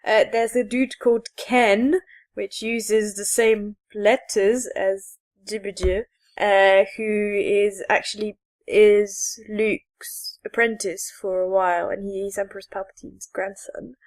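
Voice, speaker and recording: A woman's voice: medium pitch, little pitch movement, slow pace. A woman co-hosting a podcast, chatting casually about geeky things in a rambling, giggly, enthusiastic way. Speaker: podcast co-host. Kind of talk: casual geek chat.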